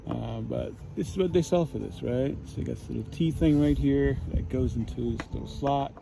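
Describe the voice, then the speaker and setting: Male DIY vlogger, mid-pitched and gravelly, casual tentative demo. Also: very animated, moderate pace. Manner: tentative, checking himself as he works. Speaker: DIY van build vlogger. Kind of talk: casual thinking-aloud demonstration. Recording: clear ambient noise, hardware clinking.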